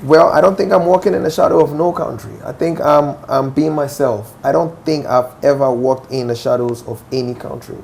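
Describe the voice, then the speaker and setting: A man's voice: mid-range voice, steady tone, brisk, gravelly, few pauses, a little mellow, a little hiss or hum. A young Ghanaian man with a Ghanaian accent, a musician answering interview questions assertively, often checking "do you understand?". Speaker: musician guest.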